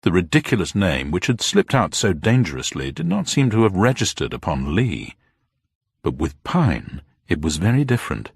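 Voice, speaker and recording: A man narrates a detective story with dramatic intent. His voice is low and husky with an animated range, and the recording is dry and close. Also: moderate pace, dry recording. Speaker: audiobook narrator.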